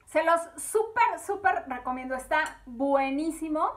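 A woman hosts a cooking video from her home kitchen. Her voice sits high, and she talks easily to the viewers as she works through each step. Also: lively intonation, unhurried. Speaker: cooking channel host.

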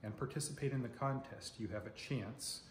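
A man's voice: mid-range voice, moderate pace. Chatty man in a very echoey room. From Oregon, United States. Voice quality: loud voice. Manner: chatty, explanatory, lots of filler words. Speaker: insect channel host. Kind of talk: casual talk to camera. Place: dining room at home, indoors. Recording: very echoey room, faint noise.

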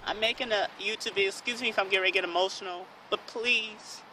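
A man around thirty with a high, piercingly bright voice pleads into his phone outdoors. He is earnest and halting, repeating himself, with faint outdoor noise around him. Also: steady tone, low-quality recording. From United States. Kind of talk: pleading amateur vlog. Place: outdoors in a park. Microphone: phone at arm's length.

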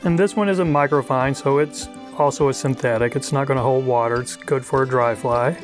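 A middle-aged man narrating a fly tying tutorial in a relaxed, chatty way, thinking aloud as he works. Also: mid-range voice, fairly quick, faint music behind. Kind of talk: casual instructional narration. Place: outdoors on a wide river.

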